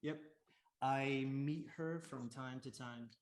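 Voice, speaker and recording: Man, English teacher, host, middle-pitched, deliberate when correcting. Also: fairly even intonation. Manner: slow and deliberate when modelling correct phrases. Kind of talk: conversation lesson with corrections.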